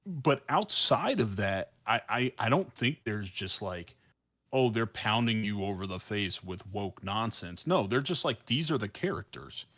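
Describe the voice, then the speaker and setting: A man's voice: fairly low pitch, very animated, unhurried, fairly bright tone. A man in a casual podcast discussion, speaking informally and emphatically, often repeating words as he works out his point. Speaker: podcast panelist.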